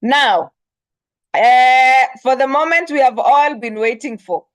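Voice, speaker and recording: A woman's voice: high voice, takes it slowly, dry recording. A woman with a Kenyan accent, hosting with effusive, promotional announcements. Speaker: host. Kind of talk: enthusiastic event announcements and guest introduction.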